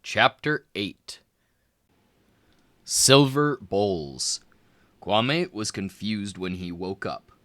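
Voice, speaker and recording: Man reading a kids' story aloud, slow and bouncy, bright tone, dry sound. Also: on the low side, no room sound. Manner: lively, expressive reading with small voice shifts for characters. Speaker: children's book reader. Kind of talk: read-aloud storybook narration.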